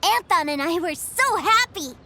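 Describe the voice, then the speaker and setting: A woman voicing an eager, naive little boy in a game, curious, wide-eyed and excitable. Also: very high voice, moderate pace, slight background noise, heavily acted. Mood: proud, earnest. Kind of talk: animated game voice acting.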